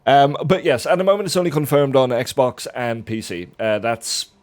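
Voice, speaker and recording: A man's voice: medium pitch, normal speed, darkish tone. A man hosting a game livestream while playing, chatty and playful. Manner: playful, laughs often. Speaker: stream host.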